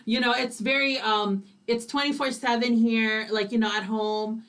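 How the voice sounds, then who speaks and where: A woman hosting a casual radio interview, supportive and quick with short affirmations. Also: a little high, steady tone, fairly bright tone. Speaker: radio host.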